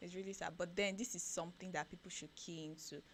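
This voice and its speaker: A Nigerian woman reads out newspaper headlines on a radio breakfast show, then talks them over in an animated way. Her voice sits a little low, and a faint noise hums under the studio sound. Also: fast talker. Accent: Nigerian English. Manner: reads headlines then comments animatedly, rising when excited. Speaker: radio breakfast show co-host reading news. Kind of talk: newspaper review with commentary.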